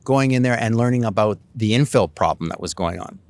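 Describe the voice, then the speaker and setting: Low-voiced Canadian man, rambling candidate. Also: brisk, a little mellow, no room sound. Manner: rambling, many fillers and restarts. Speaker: city council candidate. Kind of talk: casual interview answers.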